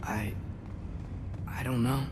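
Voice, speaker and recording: A man's voice: mid-range voice, fairly even intonation, slow, noisy background, close to an everyday voice. A young man playing an earnest, eager teenage boy in a dramatic video game cutscene, searching and hopeful. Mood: doubtful. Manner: earnest, searching, hesitant when unsure.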